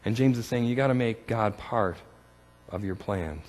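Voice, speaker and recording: A man from Canada preaching a sermon, telling stories with gentle humor and often repeating words as he goes. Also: on the low side, moderate pace, big-room sound. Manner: storytelling with self-deprecating humor, repeats words. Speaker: pastor.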